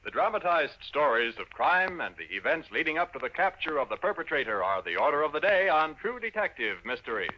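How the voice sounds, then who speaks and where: A man's voice: fairly high pitch, very animated, a little fast, few pauses. A man reading scripted announcements in a formal, polished 1950s radio style. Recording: muffled vintage radio, music behind.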